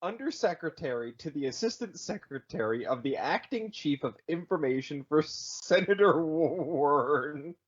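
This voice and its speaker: Male podcast co-host, very lively swooping delivery, casual, slightly muffled lo-fi recording. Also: fairly high pitch, normal speed, hardly any pauses, breathy edge. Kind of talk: casual chat.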